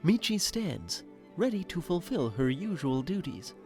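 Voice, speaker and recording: A man's voice: on the high side, big pitch swings, unhurried, darkish tone, faint music behind. A man narrating a scripted audio drama in a wry, teasing manner.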